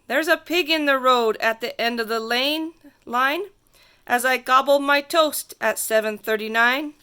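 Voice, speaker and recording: A woman reading a rhyming picture book aloud to children in a theatrical, sing-song way with drawn-out emphasis. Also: high-pitched, sharp tone, clean tone. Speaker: storybook reader.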